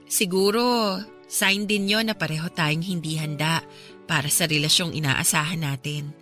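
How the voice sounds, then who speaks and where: Tender young former girlfriend, slightly low voice, reflective, radio drama over faint music. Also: steady tone, moderate pace, bright, forceful tone. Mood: wistful. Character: caring young ex-girlfriend, wistful and gentle. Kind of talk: Filipino radio drama dialogue.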